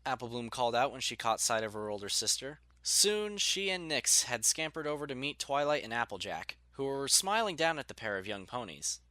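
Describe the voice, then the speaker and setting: A man's voice: mid-range voice, animated, brisk, few pauses, bright, forceful tone, no room sound. A man reading scripted story narration as the narrator of an audiobook.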